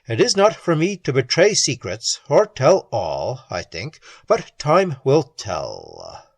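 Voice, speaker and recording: A secretive old-world manservant, put on by an audiobook reader, drawn-out ominous phrasing with big swoops in pitch and a husky edge, very dry close sound. he hints at more than he says. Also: wildly swooping pitch, dry recording, full character voice. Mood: guarded. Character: guarded male castle servant, secretive. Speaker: servant.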